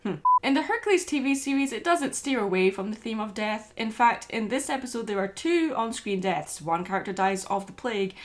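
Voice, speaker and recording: A woman's voice: fairly high pitch, expressive, a little fast, few pauses, a little hiss or hum. A woman hosting and narrating a scripted video essay.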